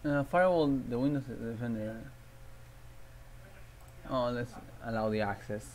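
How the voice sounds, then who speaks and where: A man's voice: medium pitch, measured pace, a little mellow, slight background noise. A man hosting a livestream, chatting casually and thinking aloud in a rambling way. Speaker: livestream host. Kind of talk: casual game-stream chatter.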